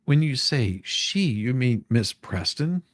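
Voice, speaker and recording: Animated, giggly host in a dry room. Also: mid-range voice, slow, dry recording. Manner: giggly, easily cracks up between read lines. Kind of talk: dramatic reading of a deposition transcript with casual banter.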